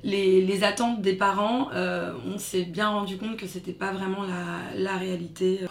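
A woman's voice: mid-range voice, fairly even intonation, moderate pace, creaky. A woman in her thirties, born in France to Congolese parents, reflecting on her schooling in a casual interview with many fillers. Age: 30s. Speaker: interviewee, daughter. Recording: echoey room with faint noise.

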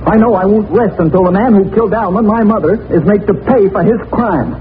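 A man's voice: high-pitched, fairly quick, music in the background, close to an everyday voice. An actor playing an earnest, insistent young man seeking justice for his family, in formal 1940s radio crime drama style. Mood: impassioned. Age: young adult. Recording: noisy narrow-band 1940s radio recording.

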